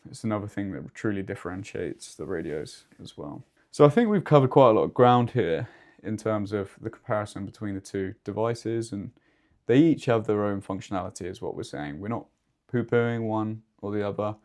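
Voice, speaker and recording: Low-voiced man explaining things conversationally. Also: expressive, fairly quick, few pauses, darkish tone. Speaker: podcast co-host and two-way radio expert. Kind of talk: conversational business discussion for online video.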